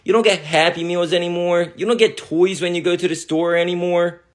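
A man's voice: high-pitched, fairly even intonation, a little fast, lightly acted. A man playing a smug, laid-back older brother in a family comedy skit, teasing in a matter-of-fact way.

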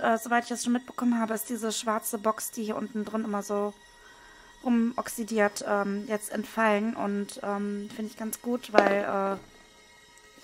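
A woman with a hoarse voice, casually commenting as she unboxes trading cards at a desk. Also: a little high. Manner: relaxed, with frequent hesitation fillers. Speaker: card unboxing video host. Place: indoors at a desk, hands over table. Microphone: small camera-mounted microphone.

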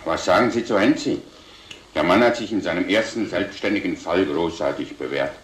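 Man playing a deep, stern police commander. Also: brisk, a little hiss or hum, natural voice. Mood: brisk, official. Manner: formal, authoritative, dismissive. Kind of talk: vintage German crime film acting. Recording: roomy old film soundtrack.

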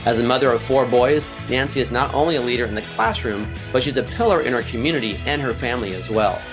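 A man's voice: mid-range voice, fast, over music, low-quality recording. A man hosting a podcast, upbeat and chatty, reading a scripted intro then interviewing a guest. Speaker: podcast host.

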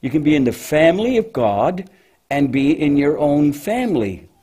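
A man voicing a patient, motherly female cow with a deep voice in a children's church puppet show, gently and hesitantly explaining things. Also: big pitch swings, moderate pace, slightly rough.

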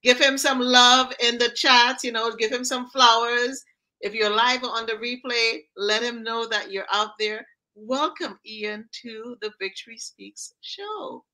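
A woman's voice: a little high, unhurried, sharp tone, poor audio. Middle-aged woman host, bit of a trini accent, peppy, talking on a video call from home. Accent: slight Trinidadian. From Trinidad and Tobago heritage. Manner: enthusiastic, encouraging. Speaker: talk show host and mental fitness coach. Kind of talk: warm upbeat interview hosting.

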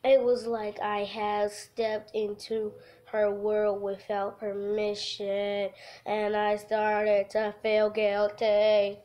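A woman livestreaming a visual novel, reading the text aloud haltingly and adding goofy, casual asides. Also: medium pitch, completely flat delivery, highs cut off.

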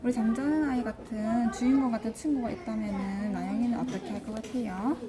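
A woman's voice: fairly high pitch, fairly even intonation, quick pace. A woman casually questioning a child about books, coaxing and reassuring her. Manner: friendly, coaxing, informal.

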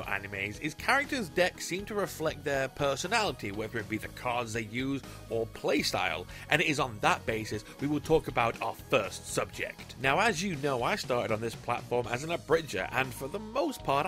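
A man's voice: medium pitch, a bit bright. Chatty man listing cards over music. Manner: chatty and enthusiastic, lists card names. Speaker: YouTube host. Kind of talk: casual card-deck commentary.

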